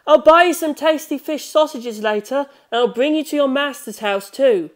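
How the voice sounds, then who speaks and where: A narrator voicing an earnest, anxious teenage schoolboy in an audiobook mystery, speaking deliberately. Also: sits high, voice pushed up, close to an everyday voice. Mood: gentle.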